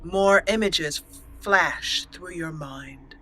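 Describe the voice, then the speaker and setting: A woman's voice: on the low side, drawn-out words, pushed and bright, slight background noise, low-quality recording. A woman hosting a let's play, switching between storytelling narration and commentary on her roleplay game.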